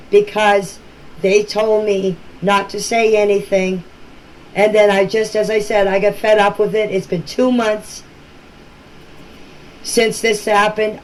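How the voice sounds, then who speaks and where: An older woman talks informally and emphatically in a voice message, plain-spoken and often repeating herself. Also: mid-range voice. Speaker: relative speaking about a missing-children case. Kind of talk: informal personal voice-message talk. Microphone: phone voice message. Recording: noisy amateur voice-message recording.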